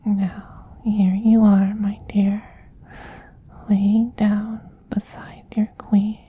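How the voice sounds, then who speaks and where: A man voicing a tender, possessive male vampire queen in a soft-spoken ASMR roleplay, in a slow, breathy, soothing whisper with gentle dominance. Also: sits high, monotone, lo-fi sound, heavily acted. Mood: loving, soothing.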